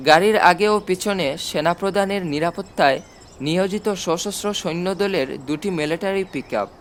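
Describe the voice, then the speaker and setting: Man, high voice, audiobook narrator, scripted storytelling, faint background noise. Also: moderate pace.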